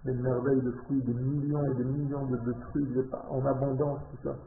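A man from Israel, speaking French as a learned language and giving a passionate religious lesson to a small group, shifting from quiet talk to loud exclamations. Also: mid-range voice, level tone, a little fast, slight background noise. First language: Hebrew. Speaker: religious teacher. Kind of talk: Torah lesson to a live group. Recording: tinny phone-like echoey room.

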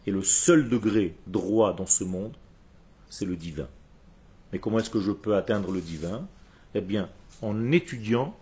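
A man's voice: fairly low pitch, dark tone, husky. A man from Israel, a Hebrew speaker, giving a religious lecture in an emphatic, didactic manner full of rhetorical questions. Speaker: religious teacher.

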